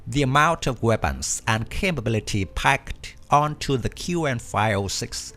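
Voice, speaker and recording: Man narrating with a lively voice. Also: medium pitch, a little slow. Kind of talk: scripted documentary narration. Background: faint background music.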